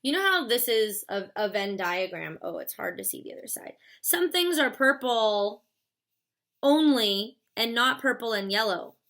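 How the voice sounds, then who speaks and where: Female lecturer, 30s, bright and slightly high voice, casual and conversational delivery. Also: animated, unhurried. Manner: chatty, uses fillers like "like" and "stuff". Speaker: philosophy lecturer. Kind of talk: casual classroom explanation.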